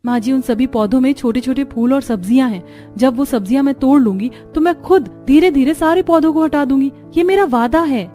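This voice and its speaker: A woman voicing a gentle, dutiful young village daughter-in-law in a cartoon story, polite and earnest. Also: sits high, fairly quick, faint music behind, lightly acted. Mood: earnest, apologetic. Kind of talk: cartoon moral story dialogue.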